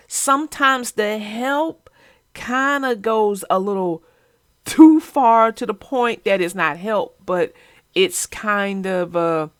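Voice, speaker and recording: A woman children's book narrator speaking expressively and deliberately, as if to young children. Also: mid-range voice, a bit bright, dry recording, studio quality. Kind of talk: storybook read-aloud and casual chat.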